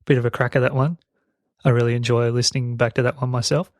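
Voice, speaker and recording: A man's voice: mid-range voice, level tone, fast, a little mellow, dry recording. An Australian man with very sibilant speech, explaining things as a podcast host into a close broadcast microphone. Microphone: close broadcast dynamic microphone.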